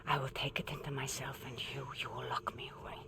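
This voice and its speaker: An actress playing a solemn, regretful older woman mentor in a video game, tender and grave, with a breathy, often hushed delivery. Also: extremely low pitch, little pitch movement, quick pace, heavily acted. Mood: weakened, resolute. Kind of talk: cinematic video game acting. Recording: noisy ambience behind the voice.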